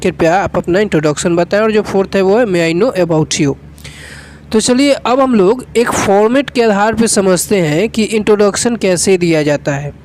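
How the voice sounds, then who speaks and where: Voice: mid-range voice, a little mellow, a little hiss or hum. A teacher with an Indian accent, giving an instructional lesson step by step in a methodical, repetitive way. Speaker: English teacher.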